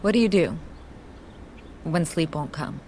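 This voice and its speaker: A woman's voice: low voice, expressive, measured pace, a little mellow, a little hiss or hum. An actress playing an intense, obsessive woman in an audio drama, conversational yet probing and insistent. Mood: probing, coaxing. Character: intense, coaxing woman obsessed with solving puzzles. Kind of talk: scripted audio drama acting.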